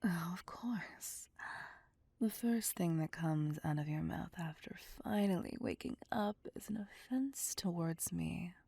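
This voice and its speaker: Woman doing a bossy teasing vampire lady for asmr, whispery and mellow, super close dry sound. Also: fairly low pitch, dry recording, heavily acted. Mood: teasing, gloating. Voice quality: breathy, whispery. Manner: slow, teasing, domineering, purring. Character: seductive, teasing, possessive female vampire mistress.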